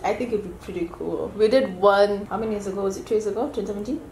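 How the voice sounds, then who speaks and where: Young woman, self-shot vlog, relaxed chatty delivery, somewhat deep voice, faint hiss. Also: low-quality recording. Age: young adult. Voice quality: deeper voice for a woman. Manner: chatty, relaxed, drawn-out fillers. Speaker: student vlogger. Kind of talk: casual vlog chat. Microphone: camera or phone held by herself.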